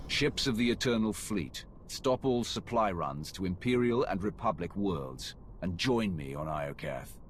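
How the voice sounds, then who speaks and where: A man's voice: low-pitched, expressive, measured pace, hardly any pauses, slight background noise. A man playing an authoritative war fleet commander who gives terse orders in a video game cinematic. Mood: commanding. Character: commanding male leader of a galactic war fleet. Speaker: military commander.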